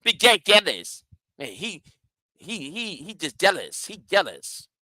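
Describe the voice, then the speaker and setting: A man's voice: high-pitched, big pitch swings, measured pace, pushed and bright, no room sound. A man hosting his own channel, delivering a combative, profane rant and taunting viewers. He often repeats words and restarts phrases. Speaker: channel host. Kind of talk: confrontational livestream rant.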